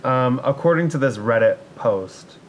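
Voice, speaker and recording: Male podcast co-host, average pitch, loose and conversational, faint rumbling noise on the recording. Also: measured pace. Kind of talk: casual banter. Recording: steady background noise with low rumble.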